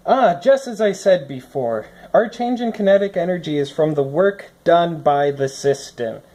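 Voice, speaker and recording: Mid-pitched explainer reading a lesson aloud. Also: lively intonation, normal speed, dark tone. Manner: explanatory, think-aloud asides. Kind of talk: scripted read-aloud lesson with commentary. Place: indoors at a desk. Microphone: phone recording from above the desk.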